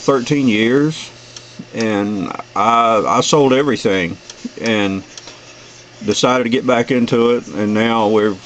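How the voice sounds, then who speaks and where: Older man, American accent, low voice, folksy rambling radio chat, clear background noise. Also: low-quality recording. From United States. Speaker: amateur radio operator. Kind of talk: casual chat over the radio. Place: at a home base radio station. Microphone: desk radio microphone in the room.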